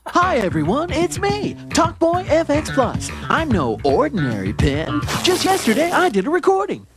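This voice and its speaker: A talking toy pen works like a hammy stand-up comic in a commercial. The voice is high and swoops wildly up and down. Loud music plays behind it. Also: male voice, wildly swooping pitch, normal speed, exaggerated voice. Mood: showy, wisecracking. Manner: exaggerated comedian delivery. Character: talking novelty pen doing stand-up comedian impression. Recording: TV advert with loud music bed.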